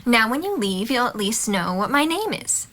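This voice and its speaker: Woman playing a bright, lively, curious college girl. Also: fairly high pitch, normal speed. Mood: teasing, friendly. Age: young adult. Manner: breezy, direct, inquisitive. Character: outgoing, curious, playful, plain-spoken young college woman. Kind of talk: audio drama dialogue.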